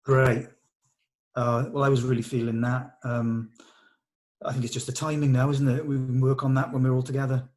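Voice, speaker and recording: A man's voice: medium pitch, flat delivery, moderate pace, darkish tone. Enthusiastic man chatting. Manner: enthusiastic, encouraging. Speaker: director leading a script read-through. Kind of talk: casual production meeting chat.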